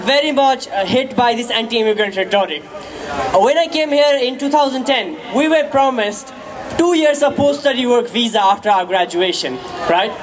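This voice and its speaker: A woman's voice: on the high side, normal speed. A woman from Nepal, a student officer, speaking earnestly with some hesitation at an outdoor rally. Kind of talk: rally speech. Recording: crowd noise, open air.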